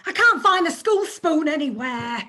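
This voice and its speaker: An actress playing a bossy, sharp-tongued schoolmaster's wife who scolds constantly. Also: female voice, high voice, expressive, normal speed. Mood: scolding.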